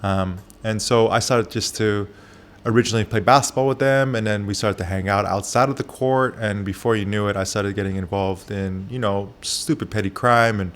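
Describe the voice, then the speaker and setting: Low-voiced guy who grew up in japan, barber, opens up slowly with lots of ums, bit of room noise. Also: steady tone. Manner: candid, with soft pauses and frequent fillers. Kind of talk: reflective interview answers.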